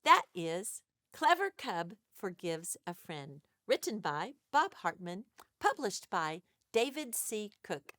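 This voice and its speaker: A woman's voice: mid-range voice, sing-song, slow pace, a bit bright, dry recording, studio quality. A woman hosting an interactive read-aloud for young children, exaggerated and questioning as she addresses listeners directly. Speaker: children's storytime host.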